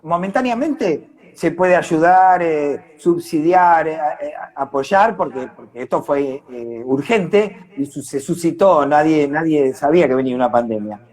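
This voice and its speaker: A man's voice: on the high side, expressive, a little slow, hardly any pauses, a little mellow. An Argentine man speaking earnestly and discursively in a reflective live online conversation. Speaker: independent arts worker.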